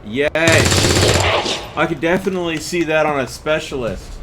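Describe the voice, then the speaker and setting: A man's voice: a little high, lively intonation, unhurried. A man giving casual gameplay commentary as a streamer, muttering reactions to himself as he plays. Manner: talking to himself, reacting to the game. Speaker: game streamer. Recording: gunfire and game noise over voice. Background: loud video game gunfire and vehicle sounds.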